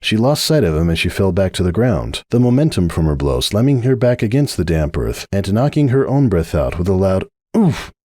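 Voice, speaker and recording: Man narrating a story, expressive, dry sound. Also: low voice, normal speed, hardly any pauses, no room sound. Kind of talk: dramatic reading of fan fiction, scripted narration.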